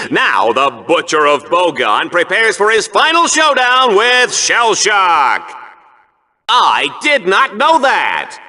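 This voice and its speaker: An actor playing a smug, hammy announcer of a sci-fi arena show in a video game, with a showy, mock-dramatic delivery. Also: male voice, very high-pitched, sharp tone, heavily acted. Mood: excited, bombastic. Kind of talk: bombastic sports-show commentary in a video game.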